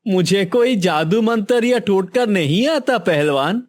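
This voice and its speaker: A man's voice: high-pitched, lively intonation, a little slow, voice pushed up. A man voicing a poor, frightened fisherman who speaks through tears in a Hindi cartoon. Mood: tearful. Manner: tearful, pleading.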